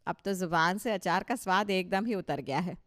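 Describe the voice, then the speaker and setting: A woman's voice: lowish voice, moderate pace, a bit bright, close to an everyday voice. Woman as proud, touchy older mother-in-law. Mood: expectant. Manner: self-satisfied, emphatic. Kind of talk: Hindi cartoon story dialogue.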